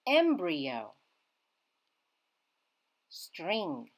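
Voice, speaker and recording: A woman reading a children's science book aloud in a teacherly way, emphasizing key words with simple, expressive phrasing. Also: fairly low pitch, drawn-out words. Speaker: children's read-aloud reader.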